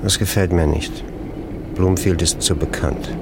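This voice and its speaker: An actor playing a wary, gruff underworld fixer with a gravelly voice in a radio crime drama. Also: male voice, sits low, steady tone, unhurried, noisy background.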